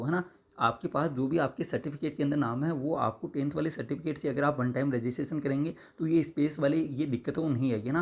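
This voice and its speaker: A hoarse man, explaining exam registration while answering viewer comments and tagging his sentences with "है ना" and "ठीक है". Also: a little high. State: hoarse, sore throat. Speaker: exam-prep YouTuber.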